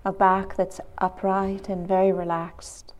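A woman teaching guided meditation, gently and in measured phrases with long pauses. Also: medium pitch, level tone.

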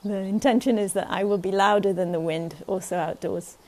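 Woman, meditation teacher at a retreat, gentle and unhurried, keeps checking in with the group. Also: medium pitch, steady tone. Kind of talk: guided meditation talk at a retreat.

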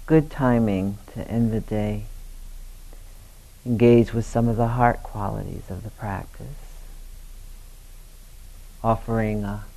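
A man leading a guided meditation as a teacher, speaking gently in short, unhurried phrases with long pauses. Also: on the low side, fairly even intonation, vocal fry, poor audio.

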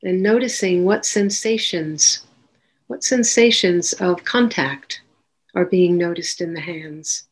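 A woman leading a guided meditation as a teacher, giving gentle, unhurried instructions with long pauses between phrases. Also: fairly low pitch.

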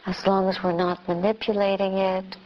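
A woman who is a Buddhist nun leading a guided meditation, speaking in short phrases with long pauses. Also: medium pitch, muffled recording.

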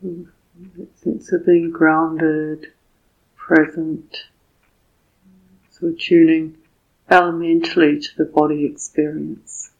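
A woman leads a guided meditation with a soft, husky voice. Her delivery is very slow and level, with gentle, deliberate pauses between short phrases. The recording sounds somewhat dull and muffled. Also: low voice, level tone. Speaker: meditation teacher.

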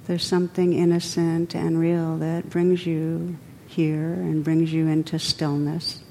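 Woman guiding meditation, lowish mellow voice, gentle and unhurried with pauses, little pitch movement. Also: monotone, gravelly, slight background noise, poor audio. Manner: gentle, unhurried, pausing between phrases. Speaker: meditation teacher. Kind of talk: guided meditation.

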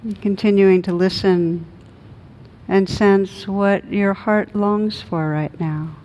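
A woman meditation teacher leading a guided meditation in a gentle, soothing manner with long pauses. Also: medium pitch, fairly even intonation, dull audio.

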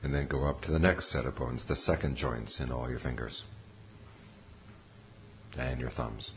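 An extremely low-voiced man leads a guided meditation. He speaks calmly and in a measured way, leaving pauses between short phrases. The recording is somewhat muffled, with a faint noise underneath. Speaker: meditation teacher. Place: meditation center talk.

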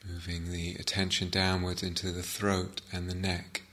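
A man giving guided meditation instructions as a teacher, gently and unhurriedly, with long pauses. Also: low-pitched, monotone.

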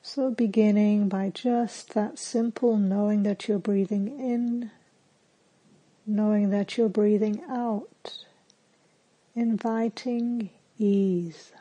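A woman meditation teacher leading a guided meditation in a calm, gentle, soothing manner with long pauses. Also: medium pitch, breathy edge, poor audio.

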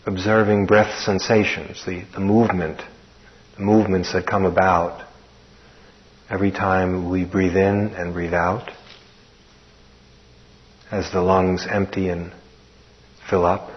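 A man teaching a guided meditation in an unhurried manner, leaving long pauses between short phrases. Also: low voice, level tone. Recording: dull, muffled recording.

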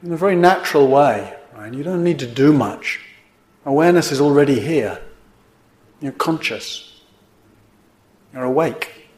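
A man teaching a guided meditation in gently instructive, unhurried phrases with pauses between them. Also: fairly high pitch, expressive. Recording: roomy, echoing indoor sound.

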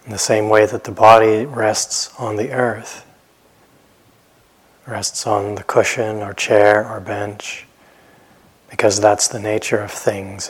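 Slow, husky low-voiced man guiding meditation. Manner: gentle, unhurried, with long pauses between phrases. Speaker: meditation teacher. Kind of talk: guided meditation instruction.

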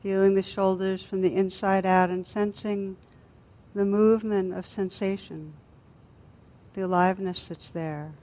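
A woman with a mid-pitched voice leads a guided meditation as a teacher. She speaks gently and soothingly. Her phrases are unhurried, with long, restful pauses between them. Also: fairly even intonation. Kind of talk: guided body scan meditation.

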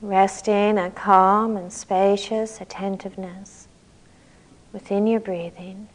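A woman leading a guided meditation as a teacher, speaking gently and calmly in unhurried phrases with long pauses. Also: medium pitch, rough recording.

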